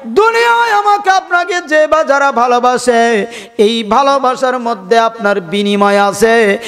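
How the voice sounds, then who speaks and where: High-pitched Bangladeshi preacher man, heavy reverb. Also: expressive, a bit bright. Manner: drawn-out, sing-song, exhorting delivery. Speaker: Islamic preacher. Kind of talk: fervent sermon with chanted passages and calls for audience response. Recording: long hall reverb, music-like sound behind.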